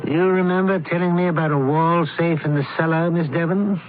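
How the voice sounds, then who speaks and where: Male actor as fussy, clipped, commanding scholar-detective, slightly high voice, radio mystery, dull vintage broadcast sound. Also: steady tone, brisk, over loud music, natural voice. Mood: probing. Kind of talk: radio mystery drama acting. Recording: muffled, faintly noisy old radio broadcast.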